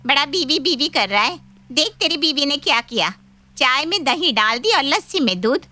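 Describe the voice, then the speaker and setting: Cartoon auntie, voiced by a woman: nosy and excitable, very high and sharp, teasing with dramatic exclamations. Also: moderate pace, full character voice. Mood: indignant. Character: nosy, excitable visiting aunt, mother-in-law's older sister. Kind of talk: animated Hindi moral-story cartoon dub.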